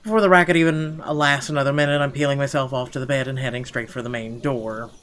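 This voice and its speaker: A man's voice: medium pitch, fairly even intonation, fast talker, heavy background noise. A man reading visual novel narration aloud in a theatrical way, now and then stumbling over words. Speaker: gaming video host. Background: game music.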